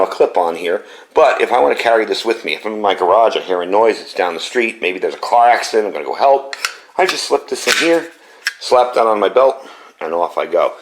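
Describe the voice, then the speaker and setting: Low gravelly man with a scratchy cold. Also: roomy sound. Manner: chatty, often adds "okay?". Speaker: product reviewer. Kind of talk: casual product review. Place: home garage and yard.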